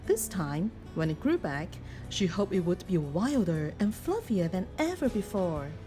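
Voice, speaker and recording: A woman reading a children's picture book aloud in an expressive, deliberate storytelling manner. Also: on the low side, soft background music. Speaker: storybook reader.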